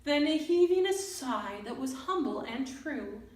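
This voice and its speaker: A woman hosting a children's storytime, reading and talking to young listeners in an expressive way. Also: sits high, a little slow. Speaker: storyteller and children's session host. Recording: roomy, distant microphone.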